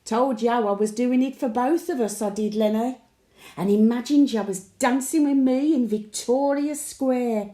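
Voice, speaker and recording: A woman's voice: sits high, mellow, echoey room. A woman playing a cheeky, wistful elderly market-trader widow with a Birmingham accent, telling her tales in a chatty, colloquial audio monologue. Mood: fond, cheeky. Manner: colloquial storytelling with dry chuckling asides. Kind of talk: audio monologue, chatty diary addressed to late husband.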